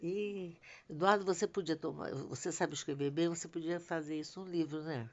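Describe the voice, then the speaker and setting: A woman's voice: sits low, steady tone, moderate pace, a little mellow, dull audio. An older woman, a grandmother, telling family memories in a rambling, casual conversation. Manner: rambling storytelling.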